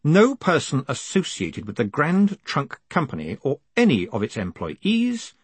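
A man voicing a calm, quietly commanding ruler with measured, formal, icily polite speech in an audiobook. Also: fairly high pitch, slightly rough, no room sound, voice pushed up, heavily acted. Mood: authoritative. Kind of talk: audiobook character acting.

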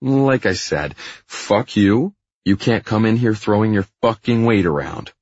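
Narrator as sneering male villain, whiny, raised pitch, belligerent, foul-mouthed, roomy audiobook sound. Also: expressive, a little slow, darkish tone, dull audio. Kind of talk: audiobook character dialogue.

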